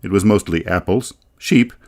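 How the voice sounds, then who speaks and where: A man with an American accent and a very low voice reads scripted documentary narration. He tells it like a folksy storyteller, with a dry wit running through the delivery. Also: moderate pace, a little mellow. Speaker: radio feature narrator and author.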